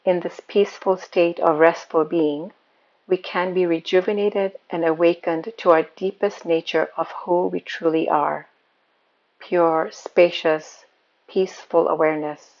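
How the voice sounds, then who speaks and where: A woman guiding a meditation as a yoga nidra teacher, speaking in a calm, soothing, unhurried manner. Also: on the low side, highs cut off. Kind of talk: guided meditation.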